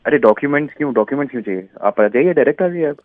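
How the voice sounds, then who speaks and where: A man's voice: medium pitch, animated, racing, no room sound. A man working as a doctor, chatting casually on the phone. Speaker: ENT doctor. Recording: dull phone line with background chatter.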